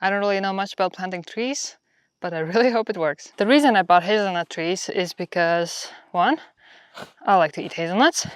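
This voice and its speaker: Clear-voiced woman with a Latvian accent. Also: mid-range voice, normal speed, no room sound, studio quality. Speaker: homesteading vlogger. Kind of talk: casual explanatory vlog talk.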